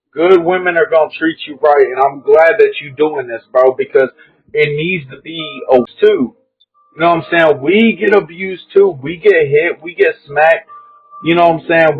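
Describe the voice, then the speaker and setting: Young guy, husky voice a bit high, tinny phone line, keeps repeating himself. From Kentucky. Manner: emphatic, repeats himself, says "bro" often. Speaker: guest caller. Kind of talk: earnest casual chat. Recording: tinny phone-like call audio.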